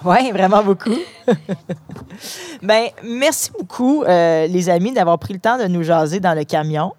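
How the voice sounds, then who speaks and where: A woman's voice: lowish voice, animated, measured pace, darkish tone. A woman with a Canadian French accent hosting a casual, chatty interview with schoolchildren and their principal. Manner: friendly, chatty, restarting phrases. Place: inside a parked truck.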